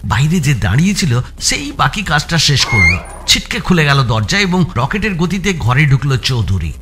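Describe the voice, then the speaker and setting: A man narrating a suspense thriller in a dramatic, expressive audio story style, with music behind him. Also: on the high side, normal speed, noisy background. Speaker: narrator reading a first-person thriller.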